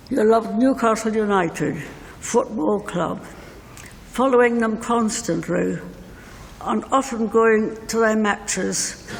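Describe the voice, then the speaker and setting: An older woman reading a prepared eulogy letter as a bereaved mother, in measured, deliberate phrases. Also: medium pitch, gravelly. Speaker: bereaved mother giving a tribute. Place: inside a church at a funeral service. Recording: reverberant church hall, faint noise.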